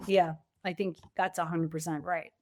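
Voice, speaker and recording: A woman's voice: lowish voice, little pitch movement, normal speed. A middle-aged woman answering as a podcast guest in a conversational, emphatic way, using vivid examples to make her points. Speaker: podcast guest, pivot coach.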